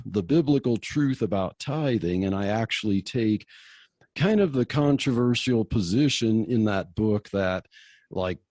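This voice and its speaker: A man with a mellow, fairly low voice speaks very slowly as a podcast guest. He explains things conversationally and carefully, with hesitant pauses, in a dry recording. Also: no room sound. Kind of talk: conversational interview answers.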